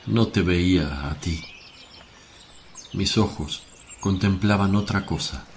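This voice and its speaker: An actor playing a grave, gentle, sorrowful teacher in a devotional radio play, speaking solemnly in measured phrases. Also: male voice, low voice. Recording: faint noise with birdsong. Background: birdsong and outdoor ambience.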